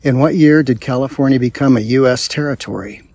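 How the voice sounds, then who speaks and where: A man from Wisconsin, host of a reading channel, reading quiz questions slowly with pauses. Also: mid-range voice, a little mellow, dry recording. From Wisconsin, USA. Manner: slow, deliberate reading with pauses.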